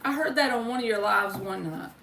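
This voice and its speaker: A woman's voice: medium pitch, a little fast, gravelly. A woman hosting a casual livestream as a tarot reader, chatting informally with viewers and giving spiritual readings. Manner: informal, chatty, addresses viewers by name, mild swearing. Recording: roomy, distant mic with faint noise.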